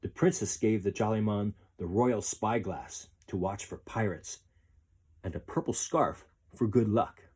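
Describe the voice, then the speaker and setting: A man reading a children's picture book aloud as a storyteller, with expressive, deliberate phrasing. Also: lowish voice, breathy edge.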